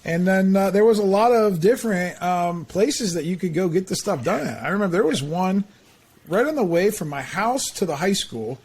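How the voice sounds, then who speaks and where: A man in his forties hosting a podcast, with a voice a bit higher than most men's. He chats casually and keeps checking in with his guest through filler tags. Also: lively intonation, moderate pace, a little mellow, slightly rough. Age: 40s. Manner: chatty, leans on "right?" and "like". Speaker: podcast host. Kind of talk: casual interview chat.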